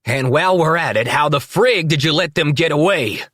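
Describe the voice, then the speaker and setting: A man's voice: a little high, lively intonation, moderate pace, no room sound, studio quality, voice pushed up. A man doing a furious, ranting town boss. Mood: furious shouting. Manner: shouting, ranting.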